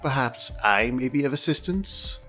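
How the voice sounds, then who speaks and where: A man playing a haughty, calculating alien inspector in an audio drama, speaking in a formal, measured and pedantic manner. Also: medium pitch, expressive, sharp tone, soft background music. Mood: politely formal. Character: precise, haughty male alien inspector, coolly calculating official.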